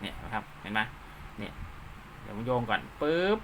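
A man's voice: fairly low pitch, big pitch swings, a little fast, vocal fry, fairly bright tone, a little hiss or hum. A man giving an informal hands-on tutorial step by step, often checking that the listener follows. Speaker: instructor, channel host.

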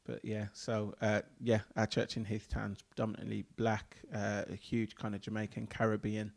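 A man in his thirties speaks with a low, gravelly voice. He talks easily and conversationally, laughing now and then, into a handheld mic in a small room. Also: moderate pace. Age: 30s. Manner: relaxed, laughs often, many ums. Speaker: church leader, mission coordinator. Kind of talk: casual conversation.

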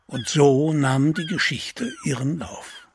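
A man's voice: fairly high pitch, steady tone, slow pace. A man narrating a scripted sea adventure audio drama as its storyteller. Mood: storytelling. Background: music behind the voice.

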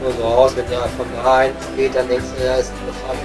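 A man's voice: mid-range voice, monotone, fast, over music, natural voice. A man playing a gossipy, mocking coal miner with a Ruhr accent in an improvised comedy audio play. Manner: colloquial, grumbling, mocking.

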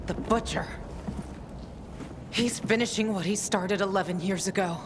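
A woman's voice: a little slow, natural voice. An actress playing a tough, loyal young woman fighter in a video game, blunt and sardonic in manner. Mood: tense. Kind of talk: dramatic video game acting. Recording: reverberant space with noisy ambient rumble.

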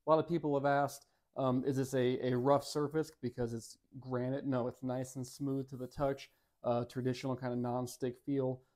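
American guy in his 30s, mid-pitched voice, chatting thru a casual product demo, upbeat n folksy. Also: level tone, moderate pace, darkish tone. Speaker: product reviewer. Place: home dining room and kitchen.

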